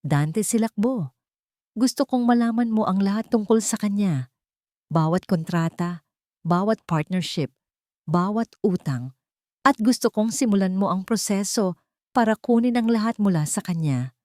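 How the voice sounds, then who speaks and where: A woman's voice: medium pitch, animated, measured pace, pauses often, darkish tone, no room sound, pitched above the performer's own voice, lightly acted. A woman voicing an older male general in an audiobook, cold and commanding, with heavy, clipped, emotionless delivery. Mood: commanding fury.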